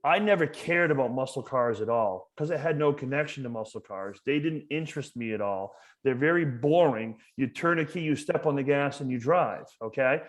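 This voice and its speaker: A man's voice: mid-range voice, moderate pace, few pauses. A man from Maine, a museum educator, talking as a podcast guest in a passionate, emphatic way, often repeating words as he builds a point. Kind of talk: casual conversational interview.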